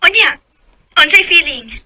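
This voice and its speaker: An actress playing a vindictive young ex-lover in a radio drama, cold and mocking. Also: female voice, extremely high pitch, animated, a little slow, sharp tone, full character voice. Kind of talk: Bisaya radio drama acting.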